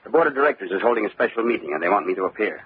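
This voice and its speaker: Worried, deep-voiced company boss, old radio. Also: male voice, animated, very fast, husky. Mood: gloomy. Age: middle-aged. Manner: anxious, resigned, hesitant. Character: worried middle-aged window cleaning company boss, glum, harried. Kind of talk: old-time radio drama acting. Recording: tinny old radio recording, phone-like band.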